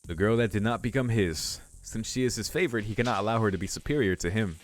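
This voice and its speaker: Low-voiced man reading a visual novel. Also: quick pace, a little hiss or hum. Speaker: let's play host. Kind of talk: reading visual novel narration aloud, with casual commentary. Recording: music playing behind the voice. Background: game music.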